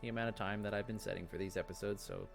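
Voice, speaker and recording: A man's voice: lowish voice, fairly even intonation, rapid-fire, gravelly, soft background music. A man hosting a let's play, chatting casually and thinking aloud as he goes. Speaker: gaming video host.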